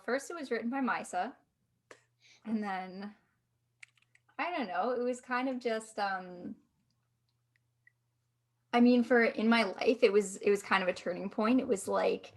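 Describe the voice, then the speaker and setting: Woman reflecting casually, medium-pitched voice. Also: fairly even intonation, unhurried. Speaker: voice actor in an audio drama.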